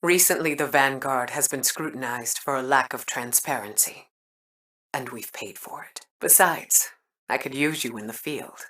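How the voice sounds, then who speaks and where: An actress playing a stern, principled military commander in a video game, speaking formally and deliberately with a measured, weary air. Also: female voice, rumbling low, fairly bright tone, far from the mic. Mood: formal, earnest. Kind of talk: dramatic video game voice acting.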